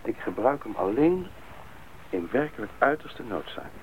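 A man playing a level-headed bush pilot in a radio drama, earnest and deliberate. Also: mid-range voice, sing-song, highs cut off, close to an everyday voice. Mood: serious. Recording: noisy, thin old radio recording.

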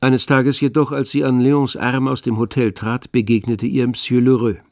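A man narrating a radio drama as a wry, measured literary storyteller. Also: mid-range voice, flat delivery, darkish tone, no room sound, lightly acted. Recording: studio with faint string music behind.

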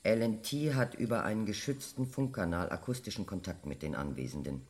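A man's voice: fairly low pitch, a little fast, a little mellow, close to an everyday voice. An actor playing a formal, officious chairman of an official hearing in a radio drama.

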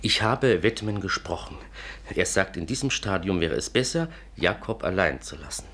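Anxious, earnest inventor for vintage radio drama: deep, husky, formal, halting delivery. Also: male voice, lively intonation, a bit bright, full character voice. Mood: anxious, grave. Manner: formal, hesitant, repeats a pet phrase. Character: courteous, anxious, hesitant businessman inventor, repetitive and earnest. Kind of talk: 1950s German radio play acting.

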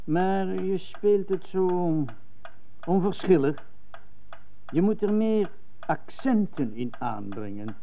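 An actress in a radio drama playing a strict, condescending piano teacher who lectures emphatically. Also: female voice, lowish voice, animated, takes it slowly, long pauses, a little hiss or hum. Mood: critical. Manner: emphatic, lecturing, repeats for effect. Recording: thin phone-like radio tape.

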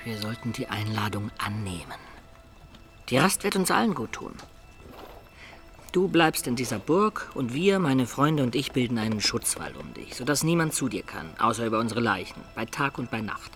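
An actor playing a loyal, observant companion who recounts a medieval campaign in measured, detailed radio drama narration. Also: male voice, mid-range voice, sing-song, a bit bright, faint music behind. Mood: earnest counsel.

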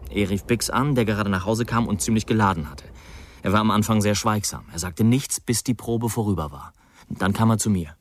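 Ein Schauspieler spielt in einem Hörspiel einen Musiker, der im Plauderton von einem alten Freund erzählt. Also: male voice, fairly low pitch, a little fast, lightly acted. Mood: fond, chatty. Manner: conversational, addresses the listener. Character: Jim, chatty musician remembering an old friend. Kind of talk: radio drama reminiscence.

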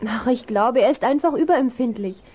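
An actress playing a bright, spirited young girl who rides horses in a children's radio play. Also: medium pitch, a little fast, natural voice. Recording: hissy old vinyl rip.

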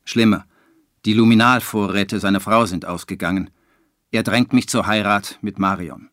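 A man's voice: lowish voice, fairly even intonation, normal speed, a bit bright, no room sound, studio quality, close to an everyday voice. A man acting as a harried writer, narrating his troubles in the first person in a radio drama. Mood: dry, matter-of-fact. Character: harried male writer narrating his dwindling fortunes, dry.

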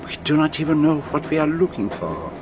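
An actor playing a shrewd, grave foreign detective with a French accent and precise, formal phrasing in a radio drama. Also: male voice, a little high, big pitch swings, brisk, noisy background. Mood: dry, exasperated. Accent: French-speaking European.

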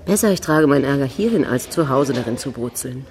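An actress playing a forthright, flirtatious and discontented middle-aged academic's wife in a radio drama, wry and teasing. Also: female voice, a bass voice, lively intonation, fairly quick, a little mellow, slight background noise. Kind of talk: naturalistic radio drama acting.

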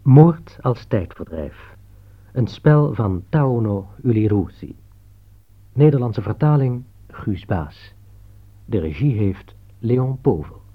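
Slow, formal male radio announcer. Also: medium pitch, sing-song. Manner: formal, measured. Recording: lo-fi, muffled old radio broadcast.

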